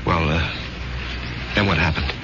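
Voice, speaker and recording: An actor playing a quiet, hesitant, decent American salesman and husband in an old-time radio drama, halting and questioning. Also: a bass voice, background noise. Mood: uneasy. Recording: muffled vintage radio recording, music behind.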